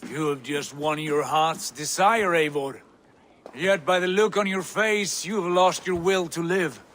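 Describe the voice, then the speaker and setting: An actor playing a cautious, commanding Viking in a video game, measured and authoritative. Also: male voice, on the high side, a bit bright, slight background noise. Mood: dry, teasing. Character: level-headed male Viking, cautious and commanding. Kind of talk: video game cutscene acting.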